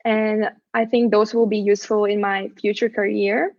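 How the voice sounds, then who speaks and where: A young woman from Latvia, a student athlete whose first language is Latvian, answering interview questions in a measured, slightly rehearsed way with small restarts. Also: medium pitch, little pitch movement, darkish tone, dry recording, highs cut off. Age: young adult.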